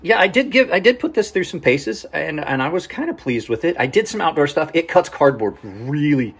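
A man in his forties with a slightly husky voice gives a casual, rambling product review, often repeating words and backtracking. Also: a little high, lively intonation, fairly quick, a little hiss or hum. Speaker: host of knife review channel.

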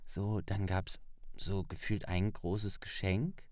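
A man's voice: sits low, little pitch movement, slow, darkish tone, dry recording, studio quality. A man hosting a podcast, chatting informally and colloquially with a guest. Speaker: podcast host, interviewer. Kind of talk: casual chat.

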